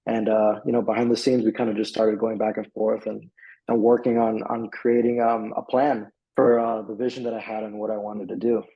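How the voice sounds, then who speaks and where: A man's voice: lowish voice, level tone, fairly quick, lo-fi sound. A young man from Miami telling his story as a client in a casual interview. He rambles a little, with lots of fillers and restarts. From Miami, USA. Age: young adult. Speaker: client giving a testimonial.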